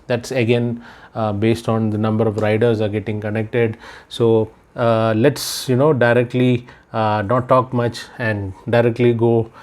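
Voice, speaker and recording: A man's voice: medium pitch, little pitch movement, a little slow, a little mellow. A man doing a casual unboxing vlog indoors, thinking aloud with lots of fillers as he handles the product. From India. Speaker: unboxing vlogger. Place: indoors, room with patterned floor rug.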